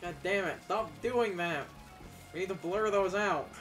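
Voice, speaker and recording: A young man co-hosting a let's play, chatting casually in halting phrases. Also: sits high, a bit bright. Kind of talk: casual gaming commentary. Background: video game music.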